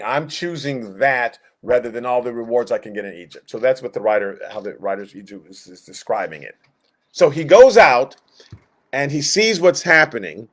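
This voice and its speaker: A man teaching Sunday school in a conversational, halting way, reading verses and explaining them, often backing up to rephrase. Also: medium pitch. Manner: conversational, halting, backtracks and rephrases, acts out inner thoughts. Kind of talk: informal Bible lesson, reading verses and explaining.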